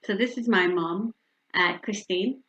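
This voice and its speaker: A woman's voice: mid-range voice, a little slow, muffled recording. Yorkshire woman giving a personal talk. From Yorkshire, England. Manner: warm, candid, personal storytelling with frequent fillers. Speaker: speaker from a hospice charity. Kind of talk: community talk with slides.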